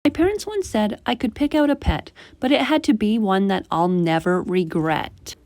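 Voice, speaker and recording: A woman reading a rhyming children's story aloud in an animated, emphatic way as a storytime host. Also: mid-range voice, moderate pace, no room sound. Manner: animated, emphatic rhymed delivery.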